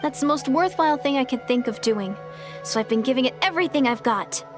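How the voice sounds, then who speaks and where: Earnest, high-voiced young adventurer. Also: female voice, lively intonation, fairly quick, music in the background. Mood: earnest, hopeful. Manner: earnest, open, talkative. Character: earnest, chatty young woman adventurer with big dreams. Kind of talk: video game voice acting.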